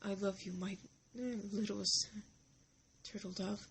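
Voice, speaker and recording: A woman playing an older, gentlemanly crime boss in a fan comic drama, fatherly and sentimental, with courtly, halting phrasing. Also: medium pitch, steady tone, gravelly, slight background noise. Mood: tender, wistful.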